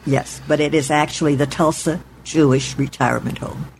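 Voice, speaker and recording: Woman in her eighties, from Oklahoma, deliberate recollection, faint background hiss. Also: deep voice, fairly even intonation. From Oklahoma, United States. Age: 80s. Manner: measured, deliberate, spells out names letter by letter. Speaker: oral history interviewee. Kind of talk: recorded oral history recollection. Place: her apartment in a retirement home.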